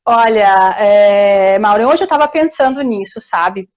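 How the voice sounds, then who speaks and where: A middle-aged woman from Rio Grande do Sul with a southern Brazilian accent, telling her story in a warm, chatty way over a video call, full of restarts and tag questions. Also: mid-range voice, measured pace. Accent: southern Brazilian Portuguese, Rio Grande do Sul. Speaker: historian and author guest. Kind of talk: casual interview storytelling.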